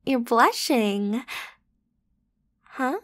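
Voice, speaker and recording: A woman plays a popular teenage schoolgirl in an intimate ASMR roleplay. Her voice sits fairly high. She speaks in casual, youthful phrases, breezy and pushy, playfully teasing the one person she addresses. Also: lively intonation, drawn-out words. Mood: friendly, teasing. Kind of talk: ASMR roleplay, one-sided conversational acting.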